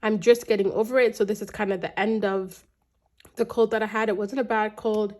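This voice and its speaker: A woman in her thirties from Canada, chatting casually to camera about her budget, her voice a little raspy and congested from a cold, talking in a rambling way with many restarts. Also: mid-range voice, steady tone, fairly quick, darkish tone. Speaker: budgeting vlogger.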